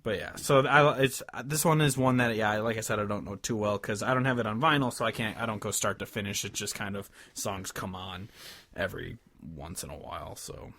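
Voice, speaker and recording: A man's voice: on the low side, brisk, a bit bright. A man co-hosting a podcast, introducing and discussing music casually in a loose, hesitant, joking manner. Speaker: podcast co-host.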